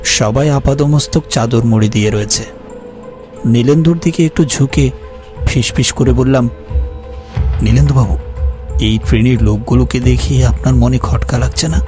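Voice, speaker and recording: A man playing a polite, uneasy traveller who narrates an eerie animated ghost story in the first person. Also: mid-range voice, normal speed, long pauses, lightly acted. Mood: suspicious, uneasy. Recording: faint music behind the voice.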